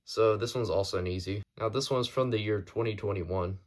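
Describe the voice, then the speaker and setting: A man's voice: lowish voice, a little fast. A man presenting his hobby collection in a casual, unscripted show-and-tell, with a matter-of-fact, informal delivery.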